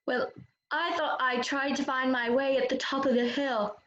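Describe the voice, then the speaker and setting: A woman's voice: sits high, fairly even intonation, moderate pace, a bit bright, roomy sound, muffled recording. A woman playing an earnest, polite, puzzled young girl in a children's readers' theater performance. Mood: polite, apologetic. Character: lost, polite but questioning young girl.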